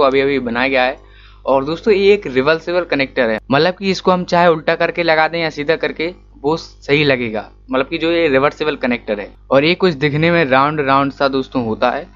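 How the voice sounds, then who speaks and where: A man's voice: a little high, fairly bright tone. A young man narrating an electronics tutorial as a voice-over, explaining step by step and often addressing viewers as friends. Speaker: electronics teacher. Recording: dull lo-fi recording.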